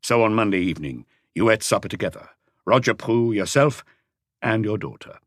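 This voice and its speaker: Man playing a calm, probing police inspector. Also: lowish voice, dry recording, clean studio sound, natural voice. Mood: measured. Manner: measured, probing, unhurried questioning.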